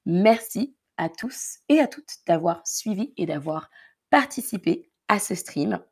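A woman's voice: mid-range voice, animated, measured pace, slightly rough. A woman with a Parisian accent, from the Paris region, teaching a live-streamed French lesson with careful explanations and repeated key words. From Paris region, France.

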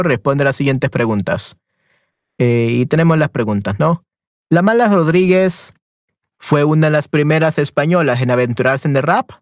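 Male online language teacher, higher voice, close dead-dry mic, repeats "yes, definitely" style affirmations. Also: no echo. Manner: switches between Spanish and English, repeats affirmations. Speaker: Spanish teacher. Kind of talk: online group class, reading and quizzing. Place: online class, talking to students.

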